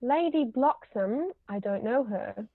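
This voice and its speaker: A woman's voice: animated, slow, full character voice. A woman reading the part of an imperious, snobbish older aristocratic lady in a stage comedy, pompous and formal in manner. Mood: snobbish, disapproving. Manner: pompous, formal, pronouncing judgments. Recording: roomy, muffled low-quality recording.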